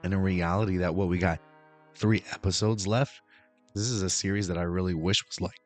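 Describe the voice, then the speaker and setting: Hoarse deep-voiced man reviewing a show. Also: fairly even intonation, brisk, darkish tone, soft background music. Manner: rambling, with fillers and restarts. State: hoarse, recovering from losing his voice. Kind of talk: casual review talk to camera.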